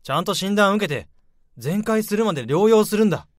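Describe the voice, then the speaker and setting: A man playing a middle-aged boss who orders a sick coworker home and then shouts for an ambulance. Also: high-pitched, expressive, a little fast, no echo, studio quality. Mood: worried. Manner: urgent, commanding, shouting for help. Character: alarmed male boss, middle-aged, bossy but caring.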